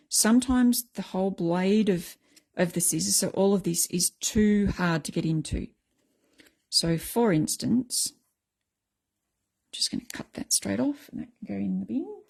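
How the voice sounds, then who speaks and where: A middle-aged woman with a croaky voice, chatting casually as she explains a craft technique step by step. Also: medium pitch, measured pace, darkish tone. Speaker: craft tutorial host.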